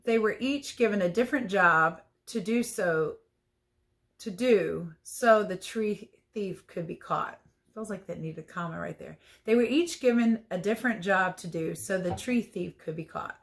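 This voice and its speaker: A woman reading a picture book aloud as a teacher would, expressive and playful, with chatty asides about the pictures. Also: mid-range voice, measured pace. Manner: playful, chatty, commenting on the pictures as she goes. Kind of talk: storybook read-aloud with casual asides.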